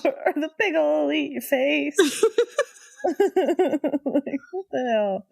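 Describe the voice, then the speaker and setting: A woman podcast co-host chatting casually, reacting with wry, expressive interjections. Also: high-pitched, very slow, vocal fry. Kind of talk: casual chat, short reactions.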